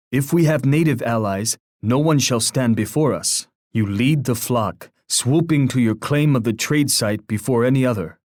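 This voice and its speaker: A man's voice: mid-range voice, a little mellow, no echo, close to an everyday voice. A man playing a solemn, proud war leader, dry studio sound. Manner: formal, poetic, declamatory. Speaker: video game character voice actor. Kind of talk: scripted strategy game voice lines.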